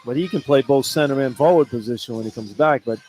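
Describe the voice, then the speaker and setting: A man's voice: mid-range voice, quick pace, darkish tone, faint music behind, rough recording. A man doing unscripted halftime radio commentary on basketball in a conversational, blunt and critical manner.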